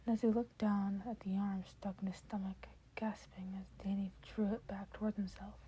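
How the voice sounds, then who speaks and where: A woman's voice: medium pitch, flat delivery, moderate pace, dark tone, slight background noise. A woman reading a scripted story aloud as an amateur audiobook narrator.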